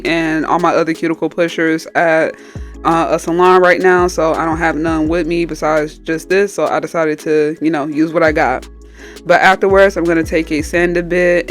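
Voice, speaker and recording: A woman nail technician with a stuffy, slightly nasal voice from a cold, casually explaining each step in a tutorial voice-over. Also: low voice. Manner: chatty, explains each step as she goes. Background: faint background music.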